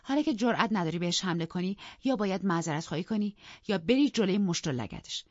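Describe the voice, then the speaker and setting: A woman's voice: fairly low pitch, animated, no echo, muffled recording, pitched above the performer's own voice. A woman voicing a proud, fiery young wife with a heated, reproachful and taunting manner. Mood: furious, reproachful.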